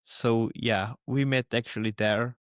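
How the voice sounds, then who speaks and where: A man's voice: medium pitch, fairly even intonation, unhurried, clean tone, no room sound, studio quality. A young man from Slovenia co-hosting a casual podcast, laid-back and chuckling often. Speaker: podcast co-host. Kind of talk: casual chat.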